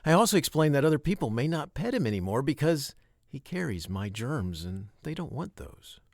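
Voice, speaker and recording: A man narrating a children's story from a script, with expressive, emphatic phrasing and short pauses. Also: medium pitch, dry recording, studio quality.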